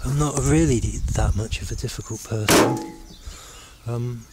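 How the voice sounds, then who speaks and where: A middle-aged man giving a rambling, reflective monologue to camera, halting as he searches for words. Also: medium pitch. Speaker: vlogger. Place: outdoors in open countryside. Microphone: handheld camera at arm's length. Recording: noisy outdoor camera recording. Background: birds and outdoor noise.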